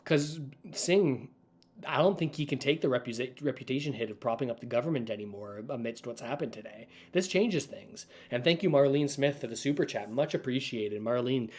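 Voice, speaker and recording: A man's voice: mid-range voice, lively intonation, brisk, a little mellow. A man from Canada hosting a livestream, talking casually and off the cuff to viewers. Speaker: livestream host. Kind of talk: casual unscripted livestream commentary.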